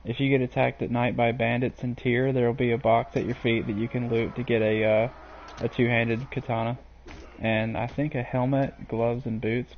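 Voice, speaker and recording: A man's voice: medium pitch, slight background noise. A man talks through his gameplay live, drifting from point to point with lots of ums and uhs. His voice sits in an ordinary middle range. The mic sounds dull and lo-fi. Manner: rambling and hesitant, many fillers. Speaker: game streamer.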